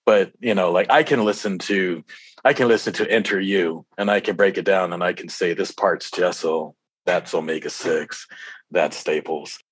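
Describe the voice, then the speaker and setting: A man from Hawaii, a rapper being interviewed, telling stories in an informal, halting way that often doubles back. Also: low-pitched, breathy edge, dry recording. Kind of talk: casual interview storytelling.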